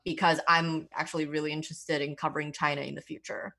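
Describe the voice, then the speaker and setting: A woman's voice: deep voice, little pitch movement, quick pace, vocal fry, sharp tone. A woman who speaks English as a second language, talking conversationally as a journalist conducting an interview. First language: not English.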